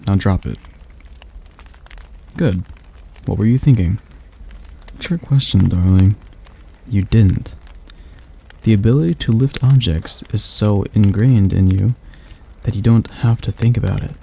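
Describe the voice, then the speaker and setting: A man voicing a patient male demon tutor who teaches magic in a calm, gently commanding ASMR roleplay. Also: lowish voice, measured pace, vocal fry, pauses often, slightly rough, heavily acted, rough recording. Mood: coaxing.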